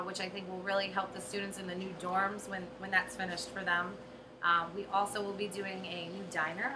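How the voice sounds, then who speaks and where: Female interviewee, average pitch, conversational, noisy location sound. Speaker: interviewee on campus. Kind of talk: interview answers.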